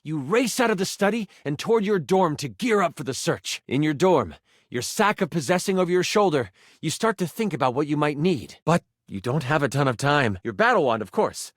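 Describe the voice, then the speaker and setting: Theatrical game master, suspenseful fantasy narration, lively swooping pitch, close dry sound. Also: male voice, brisk, dry recording. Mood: dramatic, suspenseful. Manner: dramatic, suspenseful second-person storytelling.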